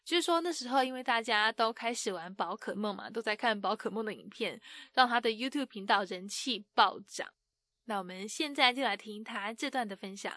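A woman's voice: on the high side, animated, a little fast, a bit bright, no room sound. Woman narrating an explanation. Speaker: program narrator.

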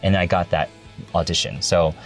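A man's voice: low voice, steady tone, normal speed, faint music behind. A young man in casual interview chat, laid-back and rambling with lots of fillers, laughing easily. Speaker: guest, actor and singer.

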